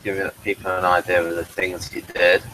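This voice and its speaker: Bloke hosting a casual review chat, muddles along, thinks out loud while presenting. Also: male voice, sits low, little pitch movement, brisk, a little hiss or hum, low-quality recording. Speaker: host presenting an episode review.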